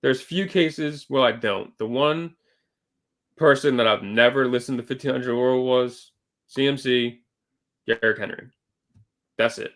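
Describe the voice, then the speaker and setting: A man co-hosting a casual sports podcast, talking loosely with fillers and self-corrections, a little hoarse from losing his voice. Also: mid-range voice, measured pace, long pauses, a bit bright. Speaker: podcast co-host.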